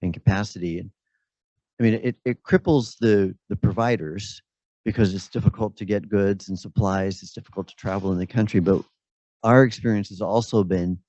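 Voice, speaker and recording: Deep-voiced man, dull dry recording. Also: fairly even intonation, normal speed, darkish tone, dry recording. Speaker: birth center operator, symposium participant. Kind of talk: spontaneous comment at a symposium. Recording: dull lo-fi audio.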